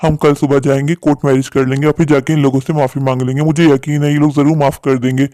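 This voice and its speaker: A man's voice: medium pitch, flat delivery, fast, dark tone, no echo, lightly acted. A man playing an earnest, protective young eloping bridegroom in a cartoon story, talking insistently and pleadingly. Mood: reassuring.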